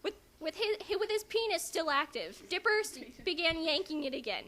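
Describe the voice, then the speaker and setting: A woman's voice: very high voice, very animated, a little fast, a bit bright. A young woman doing an amateur dramatic reading, giggly and reluctant, breaking off for casual remarks. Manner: giggly, reluctant, interjecting asides. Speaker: amateur reader. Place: room in a house. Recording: noisy home recording.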